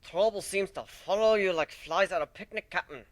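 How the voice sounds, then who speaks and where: A man voicing a gruff, blunt minotaur crewmate in a story. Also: high-pitched, normal speed, pitched above the performer's own voice, lightly acted. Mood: weary.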